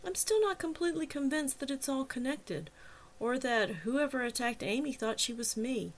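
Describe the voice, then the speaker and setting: A woman's voice: sits high, moderate pace, pitched above the performer's own voice. A woman voicing an angry, stubborn young woman in an audiobook, speaking in an emphatic, protesting way. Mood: forced calm. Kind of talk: audiobook character dialogue.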